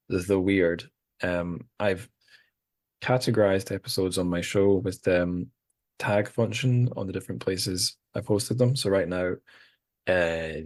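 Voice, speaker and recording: A man with a very low voice hosts a podcast conversation. He talks in a relaxed, easygoing way and thinks his points through aloud, with frequent fillers. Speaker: podcast host. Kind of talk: casual conversation.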